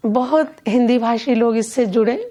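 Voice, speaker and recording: An older woman being interviewed as a poet and event organiser, speaking in a measured, earnest, deliberate way. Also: fairly high pitch, mellow. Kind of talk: reflective conversational interview.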